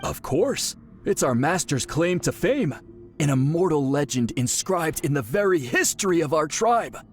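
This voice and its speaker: A man's voice: fairly high pitch, animated, a little slow, faint music behind. A man playing an earnest, deferential shaman's disciple in a video game, speaking in a conciliatory way. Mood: resigned, proud.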